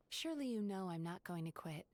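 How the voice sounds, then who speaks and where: A woman voicing a calm, composed female spirit therapist who talks in a measured, reserved way, in game voice acting. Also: fairly low pitch. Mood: firm.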